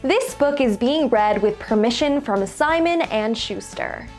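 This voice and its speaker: A woman's voice: on the high side, lively intonation, unhurried. A woman presenting scripted intros and sign-offs as host of a children's story show. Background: music.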